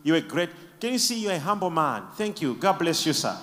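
A man leading a church service, preaching emphatically and shouting blessings between quieter questions to the people testifying. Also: a little high, big pitch swings, brisk, in a large hall, a little hiss or hum.

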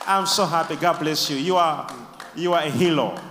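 A man leading a church service, preaching emphatically and shouting blessings between quieter questions to the people testifying. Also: a little high, moderate pace, big-room sound, some chatter behind, slight background noise.

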